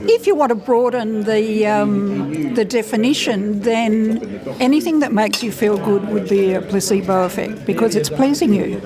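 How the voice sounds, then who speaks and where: A woman, a doctor, giving measured, explanatory answers in a conversational interview. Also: medium pitch, crowd noise. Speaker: doctor and guest speaker being interviewed.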